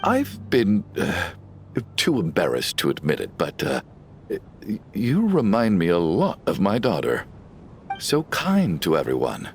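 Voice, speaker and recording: Blustery, stammering, soft-hearted dad, wildly animated. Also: male voice, fairly low pitch, exaggerated voice. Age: middle-aged. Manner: hesitant, stammering. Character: emotional middle-aged father, grateful, blustery, soft-hearted. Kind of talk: video game voice acting. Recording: voice under loud game music.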